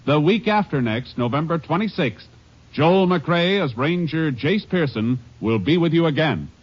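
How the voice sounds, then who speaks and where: A man's voice: fairly high pitch, animated, unhurried, highs cut off. A man announcing and reading credits on a 1950 radio broadcast in a formal, declamatory style.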